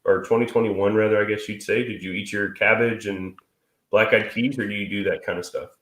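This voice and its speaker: Sniffly, crude, joking co-host in his thirties, low voice, roomy echo. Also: steady tone, fairly quick, fairly bright tone. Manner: crude, joking, swears freely. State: stuffy and sniffly from a cold. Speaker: co-host of a live stream. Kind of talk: casual chat. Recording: echoey room.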